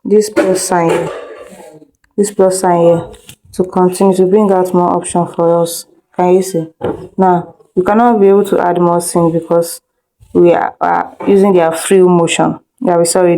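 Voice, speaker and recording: Mellow-voiced woman giving a tutorial. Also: lowish voice, rough recording. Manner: instructional, repeats herself, checks understanding. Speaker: video editing instructor. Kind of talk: informal step-by-step screen tutorial.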